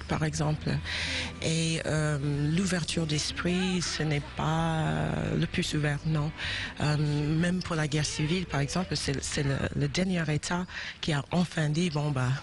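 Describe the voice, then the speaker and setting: A woman's voice: deep voice, fairly even intonation, measured pace. A woman from South Carolina with an American accent, answering interview questions in French. She is thoughtful and hesitant, with many fillers and restarts. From South Carolina, USA. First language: English. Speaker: singer, interview guest.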